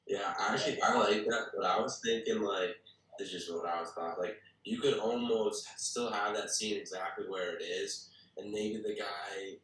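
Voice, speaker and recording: A man with a low voice talks through ideas casually in a group discussion. His phrasing is loose and tentative, full of small hedges. He sounds distant from the microphone in an echoey room. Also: fairly even intonation, moderate pace, fairly bright tone. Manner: hesitant phrasing, hedging with many "like" and "kinda". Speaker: participant giving script feedback at a table read. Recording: echoey room, far from the mic.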